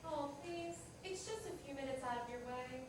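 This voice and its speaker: A calm, confident God in female form, played by a woman in a stage play, gently persuasive and high in pitch. The voice comes from far off in a very echoey hall. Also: normal speed, lightly acted. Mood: sweetly pleading. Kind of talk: live staged play reading. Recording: noisy, very echoey hall, distant mic.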